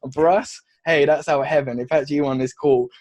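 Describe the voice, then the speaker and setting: A man's voice: a little high, a little fast, dry recording. A young man from Wales with a mixed, drifting Welsh accent, chatting energetically as a podcast guest. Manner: energetic, rambling with restarts. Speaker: guest, funnel agency founder. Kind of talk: casual podcast chat.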